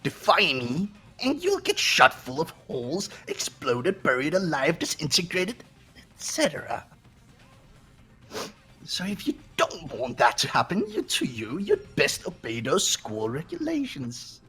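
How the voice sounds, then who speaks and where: A very raspy cartoon robot bear runs a game of cruelty as headmaster. The pitch leaps wildly as it taunts with gleeful, mock-innocent theatrics, over music. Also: huge pitch swings, measured pace, sharp tone, full character voice. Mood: gleeful menace. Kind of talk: fan voiced visual novel playthrough.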